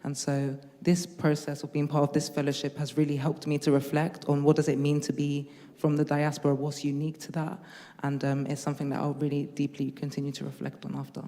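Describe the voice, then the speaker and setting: A woman with a London accent gives a reflective answer on a panel. Her voice is soft-edged and fairly flat in melody. It rings in a reverberant hall. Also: sits low, fairly quick. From London, England. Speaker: panel speaker, peacebuilding fellow.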